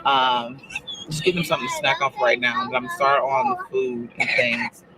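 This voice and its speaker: Mom vlogging casually, really deep voice, far from the mic with noise around her. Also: level tone, normal speed. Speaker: family vlogger, mother. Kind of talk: casual vlog narration. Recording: distant mic with clear background noise.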